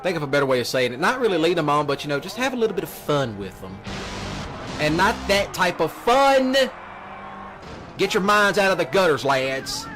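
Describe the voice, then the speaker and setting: A man's voice: a little high, big pitch swings, normal speed, music in the background. A man in a casual, rambling livestream rant, repeating himself and addressing his audience as y'all and lads. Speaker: livestreamer doing a let's play. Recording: noisy with car engine sounds, uneven volume. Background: car and vehicle sounds from racing game.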